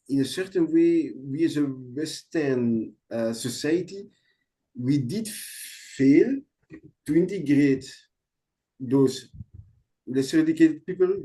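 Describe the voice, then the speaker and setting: A man from Belgium, a guest in a casual podcast talk, speaking earnestly with many pauses and restarts in a language that is not his first. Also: on the high side, warm tone, rough recording. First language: not English. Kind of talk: casual conversation.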